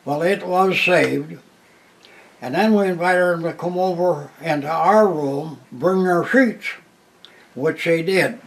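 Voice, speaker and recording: A man, a war veteran telling his story plainly with restarts and a loud voice. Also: fairly high pitch, creaky, rough recording. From Minnesota, USA. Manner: plain unhurried retelling with restarts. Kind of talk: oral history storytelling.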